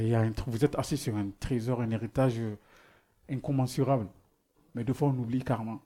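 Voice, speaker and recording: Man, non-native speaker, preaching a sermon, mid-pitched voice, hesitant restarts, rhetorical questions. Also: mellow. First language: not French. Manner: searching for words, frequent restarts, rhetorical questions.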